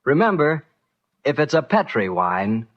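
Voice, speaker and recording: A man with a husky voice giving a chatty, persuasive sponsor pitch as a 1940s radio announcer. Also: big pitch swings, unhurried. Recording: muffled old radio broadcast recording.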